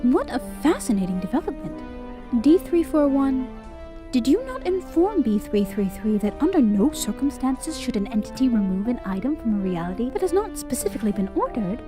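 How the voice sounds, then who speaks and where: A woman's voice: on the high side, big pitch swings, moderate pace, music in the background. A woman playing a condescending director of an otherworldly bureaucracy in an audio drama, sweet and falsely cheerful with menace beneath. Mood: breezily commanding. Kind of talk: scripted audio drama acting.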